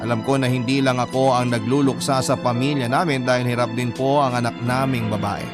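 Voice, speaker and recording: A man's voice: medium pitch, fairly even intonation, a little fast. A man with a Filipino accent narrating a first-person story from a letter in a dramatic style. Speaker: narrator reading a letter aloud. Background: music.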